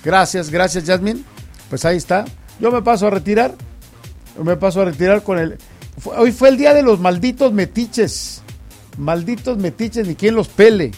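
A man's voice: high voice, expressive, normal speed, a little mellow, faint music behind. A man hosting live radio, chatting casually and teasing callers, often repeating his phrases. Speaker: radio host.

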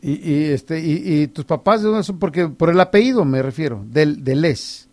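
A man's voice: on the high side, expressive, normal speed, a little mellow. A man hosting live radio, chatting casually and teasing callers, often repeating his phrases. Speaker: radio host.

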